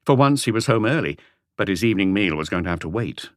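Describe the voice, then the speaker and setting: A man's voice: on the low side, expressive, fast, no echo, clean studio sound. A man reading scripted narration as the narrator of a mystery audiobook.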